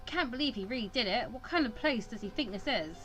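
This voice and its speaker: A woman's voice: on the high side, expressive, quick pace, a bit bright. Woman acting out a stern, impatient male mentor. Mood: alarmed. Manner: scolding, clipped, serious. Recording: clear background noise.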